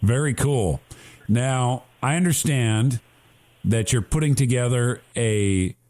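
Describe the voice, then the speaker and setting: A man's voice: mid-range voice, slow, darkish tone. A man hosting a radio show, chatting with a guest and plugging the project to listeners. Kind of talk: upbeat interview chat with promotional wrap-up.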